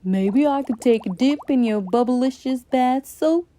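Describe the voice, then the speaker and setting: A woman's voice: high voice, unhurried, dark tone, dry recording. A woman voicing a scruffy, cheerful, goofy donkey in a children's storybook. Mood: goofy, eager. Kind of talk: children's storybook character acting.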